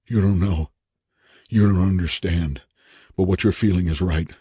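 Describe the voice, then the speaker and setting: A man voicing a terrified, half-mad character in an audiobook, hoarse and strained, pleading and urgent as he gives his warnings. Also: deep voice, steady tone, normal speed, mellow, no echo, clean studio sound. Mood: desperate pleading.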